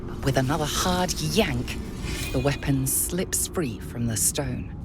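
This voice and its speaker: An actress narrating a fantasy video game as a solemn, mysterious storyteller with measured, dramatic delivery. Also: female voice, very deep voice. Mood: solemn mystery. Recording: loud music behind the voice.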